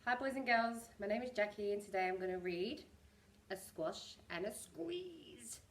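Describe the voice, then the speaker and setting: A woman storyteller reading a rhyming picture book aloud to young children in an expressive way. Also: mid-range voice, moderate pace, recorded from a distance.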